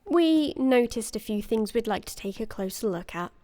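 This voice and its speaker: An actress playing a composed, authoritative organization leader in an audio drama, speaking formally and with smooth reassurance. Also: female voice, a little high, expressive, normal speed, mellow, dry recording, natural voice. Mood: smooth, reassuring.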